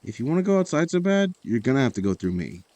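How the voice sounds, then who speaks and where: A man's voice: mid-range voice, big pitch swings, fast, a little mellow, no room sound, lightly acted. An actor playing a protective, confrontational young man in an audio drama. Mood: defiant.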